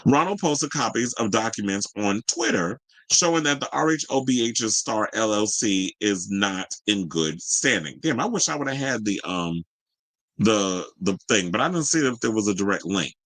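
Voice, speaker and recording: Casting: American male gossip host, bright forceful voice, sassy and colloquial, moving between read copy and off-the-cuff commentary. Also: fairly low pitch, expressive, moderate pace, poor audio. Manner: sassy, colloquial, exclamatory asides. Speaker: host of a celebrity news and gossip show. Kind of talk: casual gossip commentary mixing read-out news with ad-libbed asides.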